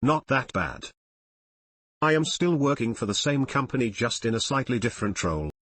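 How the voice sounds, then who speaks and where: A man narrates scripted online stories and reader comments. His voice sits at an average pitch with a husky, slightly rough edge. The sound is dry, with very little room around it. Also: very animated, moderate pace, a bit bright, no room sound. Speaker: narrator reading online forum posts and comments.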